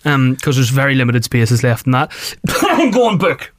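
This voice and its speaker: Tired, jokey radio host. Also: male voice, mid-range voice, sing-song, normal speed, no echo, clean studio sound. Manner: chatty and jokey, a bit tired. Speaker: radio breakfast show host. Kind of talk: casual podcast chat.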